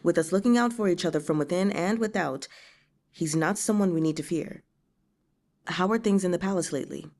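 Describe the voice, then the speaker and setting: A woman's voice: lowish voice, brisk, a little mellow, natural voice. A woman voicing a shrewd young nobleman in a storybook, assured, dismissive and dryly reassuring.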